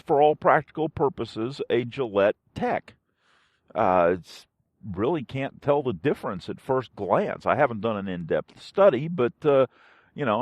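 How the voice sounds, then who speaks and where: A male podcast host talks in an unhurried, folksy ramble. His pitch swings up and down in a lively way as he pauses often mid-thought. The sound is dry and close, like a casual solo review. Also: no room sound.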